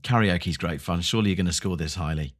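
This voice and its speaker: A man co-hosting a casual podcast chat. His humour is dry and wry. His voice is somewhat low. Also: fast. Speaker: podcast co-host.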